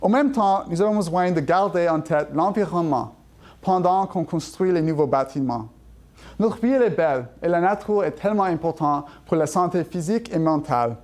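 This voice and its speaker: A man's voice: on the high side, normal speed, darkish tone. A man giving an earnest prepared campaign speech as a council candidate, stumbling over words and correcting himself in a language that seems second to him.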